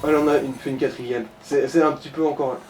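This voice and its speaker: A man's voice: mid-range voice, steady tone, fast, far from the mic, background noise. A man giving casual, crude co-commentary and tips during a video game. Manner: crude, sweary banter. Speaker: let's play co-commentator. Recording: roomy echo, game music underneath.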